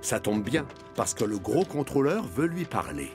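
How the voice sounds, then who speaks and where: A man's voice: mid-range voice, big pitch swings, normal speed, music in the background. A man narrating a children's cartoon as a scripted storyteller.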